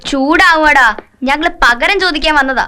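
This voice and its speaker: An actress dubbing a bold young girl who loudly demands payback. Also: sits high, normal speed, a little hiss or hum. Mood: demanding. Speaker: girl demanding a new guitar. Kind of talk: dubbed film acting. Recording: film soundtrack with music.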